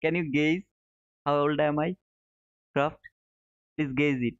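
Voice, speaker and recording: A man's voice: a little high, fairly even intonation, slow, smooth. Phone-like sound, man chatting on stream. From Bangladesh. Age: 30s. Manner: repeats short phrases, informal and chummy address. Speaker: live trading stream host. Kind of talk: casual chat, answering viewer comments. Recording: narrow phone-like sound, roomy echo.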